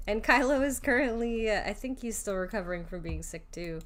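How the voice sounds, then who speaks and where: A woman's voice: medium pitch, animated, a little fast. A young woman streamer, recovering from sickness, giving casual, playful reaction commentary on a game in slangy speech. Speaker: streamer, vtuber.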